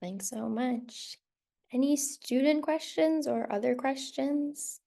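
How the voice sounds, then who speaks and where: Creaky-voiced woman moderating. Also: high-pitched, takes it slowly, dark tone. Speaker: school staff moderator. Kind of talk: informal hosting of a virtual information session. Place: video call.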